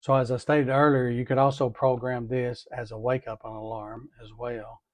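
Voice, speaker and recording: A man's voice: medium pitch, flat delivery, normal speed, dry recording. A man giving a methodical, hands-on tutorial, talking through each step and repeating as he goes. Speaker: DIY product demonstrator.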